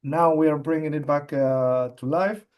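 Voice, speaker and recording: A man with an Argentine Spanish accent, a geologist answering interview questions in English, halting with frequent fillers and repeated words. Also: on the high side, fairly even intonation, a little mellow, smooth. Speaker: mining company executive, geologist.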